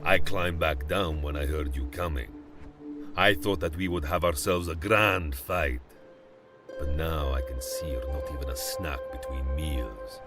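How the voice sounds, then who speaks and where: Very deep, menacing giant bear. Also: male voice, unhurried. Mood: condescending, menacing. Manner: taunting, theatrical, condescending. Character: giant ancient predatory bear, male, condescending menace. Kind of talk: fantasy audio drama acting. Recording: loud dramatic music behind.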